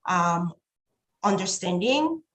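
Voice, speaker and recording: A woman's voice: fairly low pitch, animated, fairly bright tone. Thoughtful woman. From Haiti. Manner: thoughtful, halting, repeats words. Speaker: co-host. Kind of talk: casual talk show chat.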